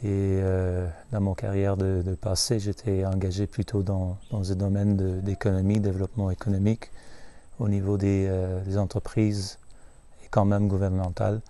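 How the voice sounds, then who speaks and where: A middle-aged man from Montreal talks off the cuff at a wildlife sanctuary. His voice sits fairly low and sounds mellow. He speaks haltingly, full of filler sounds and self-corrections. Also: monotone. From Canada, Montreal. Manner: hesitant, many filler sounds and restarts. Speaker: sanctuary staff member. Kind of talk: unscripted video presentation. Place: at a chimpanzee sanctuary in West Africa.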